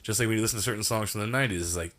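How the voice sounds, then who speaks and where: A man's voice: lowish voice, very fast, fairly bright tone. A man co-hosting a podcast in casual chat, laid-back, swearing and laughing often. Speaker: podcast co-host.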